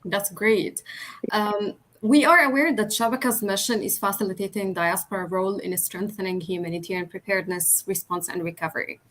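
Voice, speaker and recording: A woman's voice: medium pitch, steady tone, brisk, fairly bright tone, poor audio. Young woman from Egypt, moderator for a live online interview, brief and encouraging, mid-range voice. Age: young adult.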